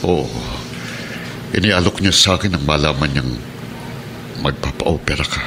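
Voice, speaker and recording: A man acting a middle-aged father in a Tagalog radio drama, earnest and gently pleading. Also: a bass voice, unhurried, background noise. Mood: earnest, reassuring. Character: remorseful, earnest father, police officer near retirement. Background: dramatic music.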